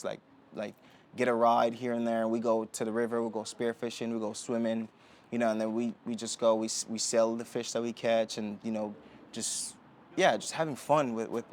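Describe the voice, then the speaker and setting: A young man from Jamaica talking as a podcast guest, relaxed and rambling with many fillers and restarts. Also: medium pitch, a little hiss or hum. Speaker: guest, Olympic sprinter. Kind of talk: casual interview talk. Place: indoor podcast setting.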